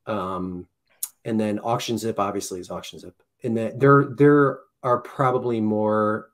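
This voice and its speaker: A man's voice: on the low side, fairly even intonation, unhurried. A man in his thirties as a podcast guest, chatting casually on a video call with a headset mic, often restarting and correcting himself. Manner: rambling, self-correcting, many restarts. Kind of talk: casual chat. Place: home room with posters. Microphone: headset and desk mic, video call.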